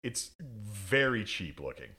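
A man's voice: on the low side, very animated, takes it slowly, sharp tone. A man co-hosting a casual film review podcast, blunt and dryly sarcastic. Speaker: podcast co-host.

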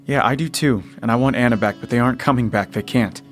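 A man's voice: medium pitch, fast talker, soft background music, close to an everyday voice. A man playing a weary, protective survivor father in an audio drama, plain-spoken and earnest. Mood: frustrated, strained. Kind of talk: naturalistic audio drama acting.

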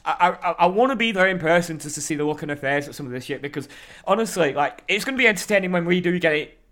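A man co-hosting a casual wrestling podcast. His voice sits a little high and sounds bright and forward. He rambles with a lot of energy and swears freely. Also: lively intonation, very fast. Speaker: podcast co-host. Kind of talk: casual chat.

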